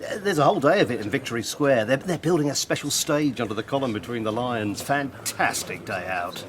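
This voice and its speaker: A man's voice: mid-range voice, expressive, fairly quick, background noise, lightly acted. An actor playing a chummy, boastful, zealous party-loyal English father in a radio drama, with an eager, bluff, stammering delivery. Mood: proud, gleeful. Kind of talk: naturalistic radio drama acting. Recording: crowded, busy background chatter.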